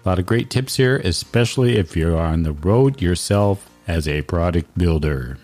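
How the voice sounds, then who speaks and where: Brief: low-voiced male announcer, mellow, upbeat scripted read over faint music. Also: lively intonation, moderate pace. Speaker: show announcer. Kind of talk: scripted podcast intro.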